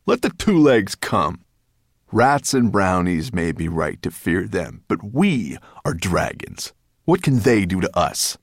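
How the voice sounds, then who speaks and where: A man's voice: low-pitched, big pitch swings, takes it slowly, dry recording, studio quality, heavily acted. A man voicing a large, proud dragon in a storybook, scornful and dismissive. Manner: pompous, dismissive.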